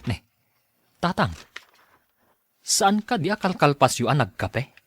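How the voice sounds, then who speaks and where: A man playing a dutiful grown son who looks after his elderly father, speaking briskly and attentively in an audio drama. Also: a little high, sing-song, husky, heavily acted. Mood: attentive, coaxing. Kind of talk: Ilocano audio drama acting.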